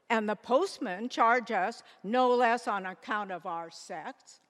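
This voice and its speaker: A woman performing a dignified, resolute nineteenth-century women's rights pioneer in a scripted stage monologue, with measured, deliberate oratory. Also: fairly high pitch, fairly bright tone. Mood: indignant. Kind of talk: scripted readers theater monologue. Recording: echoey hall with long reverb.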